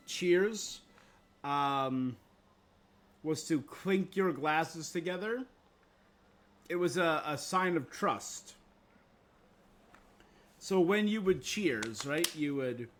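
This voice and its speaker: A man chatting casually with viewers as a game streamer, in a laid-back, conversational manner. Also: a little high. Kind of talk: casual livestream chat with viewers. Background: video game music.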